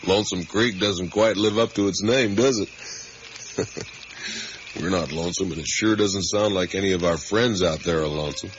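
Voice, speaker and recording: An actor playing an easygoing, talkative middle-aged father in a naturalistic radio drama, with a folksy, rambling, gently teasing manner. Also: low voice, normal speed, natural voice. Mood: relaxed. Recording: muffled, hissy 1980s radio broadcast.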